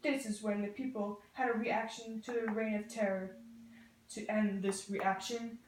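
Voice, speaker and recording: A woman's voice: mid-range voice, steady tone, moderate pace, darkish tone, slight background noise. A young woman, a student presenter, narrating history from a script as part of a two-person skit. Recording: roomy, echoey room sound.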